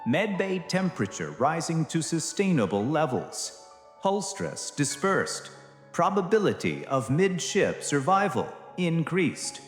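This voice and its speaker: Man, mid-pitched, slow and solemn delivery for dramatic space fiction, echoey with music behind. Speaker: narrator. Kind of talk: dramatic scripted sci-fi audio narration. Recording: echoey long reverb over music.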